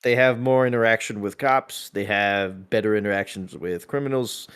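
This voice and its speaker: A man with a fairly low voice talks in a casual, unscripted way. He thinks out loud, stopping and starting and often repeating words before finishing a thought. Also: normal speed, clean tone.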